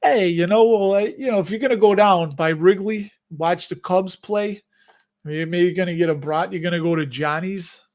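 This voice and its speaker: A man's voice: high-pitched, normal speed, darkish tone. A middle-aged man with a Chicago accent hosts a casual investing livestream, answering viewer questions in a rambling, chatty way and laughing at his own asides. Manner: rambling and chatty, with frequent restarts and self-amused laughs. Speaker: host of a dividend investing livestream.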